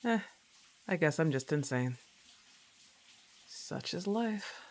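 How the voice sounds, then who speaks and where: A young goth roommate, acted by a woman, drawls slowly in a roleplay audio. Her pitch swings widely as she teases, laid-back yet a little annoyed, with casual sarcasm. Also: lively intonation, a little mellow, full character voice. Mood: relaxed musing. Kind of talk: acted roleplay ASMR monologue.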